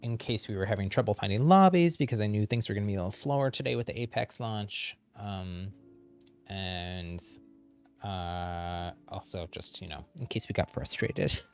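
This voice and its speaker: A man with a fairly low voice gives casual commentary on his gaming livestream. He talks informally as he plays, and music runs clearly behind him. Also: normal speed. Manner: chuckles often while talking. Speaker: livestream host playing a video game.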